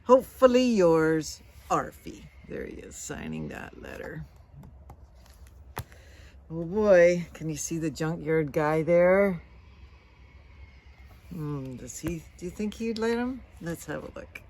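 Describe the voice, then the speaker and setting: A woman reading a storybook aloud to children, gentle and conversational, with chatty, questioning asides. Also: deep voice, big pitch swings, slow, long pauses, a little hiss or hum. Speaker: storybook reader.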